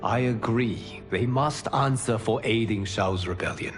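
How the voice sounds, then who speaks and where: Wise guardian male actor, fighting game: low, slow, diplomatic, long reverb and faint music. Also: close to an everyday voice. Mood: calm counsel. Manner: measured, formal, diplomatic. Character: calm, wise male guardian counseling restraint. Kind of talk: dramatic video game cutscene acting.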